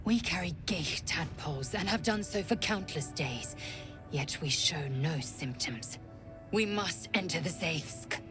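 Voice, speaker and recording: A devout, imperious alien warrior woman in a fantasy game. She speaks low and deliberately, with curt, formal phrasing and zealous conviction, over clear music. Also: expressive. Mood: commanding.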